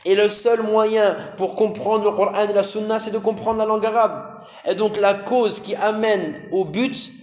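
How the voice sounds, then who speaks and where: A man's voice: high voice, fairly even intonation, unhurried, a little mellow, echoey room. A man giving a religious lesson, asking his listeners questions and answering them himself, with frequent "hein" fillers and Arabic terms. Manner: addresses listeners as brothers, asks and answers own questions. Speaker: Islamic teacher. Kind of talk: lesson explaining Quran commentary.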